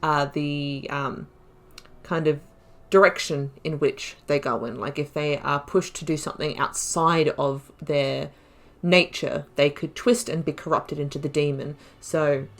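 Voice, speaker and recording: Woman, slightly low pitch, gaming host, lore reading and casual commentary, measured yet emphatic. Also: fairly even intonation. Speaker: gamer host of a playthrough series.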